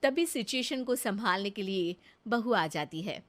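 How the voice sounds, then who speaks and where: A woman's voice: mid-range voice, animated, a little slow. A woman narrating a scripted story as a storyteller.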